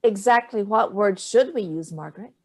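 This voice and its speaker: A woman's voice: medium pitch, lively intonation, normal speed, darkish tone. A middle-aged woman playing a sardonic, wounded older mother in a staged play reading, with clipped, cutting delivery. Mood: cool, pointed.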